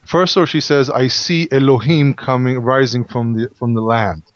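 A man's voice: mid-range voice, fairly even intonation, moderate pace, dry recording. A man whose first language is Hebrew, explaining a Bible passage in a casual study talk and sometimes searching for English words. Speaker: Bible teacher. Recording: muffled, lo-fi audio.

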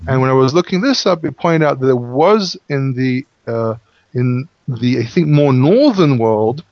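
A man's voice: medium pitch, lively intonation, measured pace, dry recording. A man whose first language is Hebrew, explaining a Bible passage in a casual study talk and sometimes searching for English words. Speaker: Bible teacher. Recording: muffled, lo-fi audio.

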